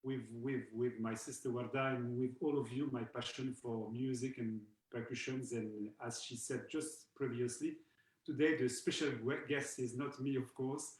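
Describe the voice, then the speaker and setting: A man's voice: medium pitch, fairly even intonation, moderate pace, echoey room, low-quality recording. A man from eastern Algeria, a percussionist guest chatting casually and hesitantly on a video call, with many restarts. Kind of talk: casual online chat.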